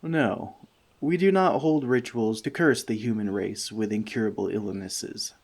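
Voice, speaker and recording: A man playing a friendly forest elf in an ASMR roleplay, talking to the listener with dry, gentle teasing. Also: on the low side, unhurried. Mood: relaxed, open.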